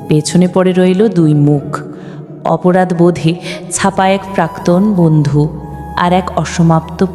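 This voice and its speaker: A woman's voice: mid-range voice, darkish tone. A woman reading a scripted, emotional story as narrator. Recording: long reverb, music underneath. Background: background music.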